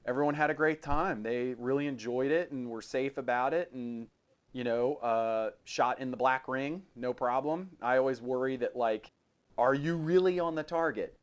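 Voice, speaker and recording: A man's voice: mid-range voice, moderate pace, clear, resonant tone. A middle-aged man with an American accent hosts a podcast in a casual, chatty style with a wry, jokey streak. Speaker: podcast host. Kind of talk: casual chat about firearms.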